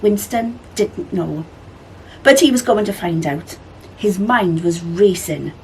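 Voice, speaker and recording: A woman reading a children's story aloud as a library storyteller, with expressive narration. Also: fairly low pitch, slow pace, slight background noise, low-quality recording.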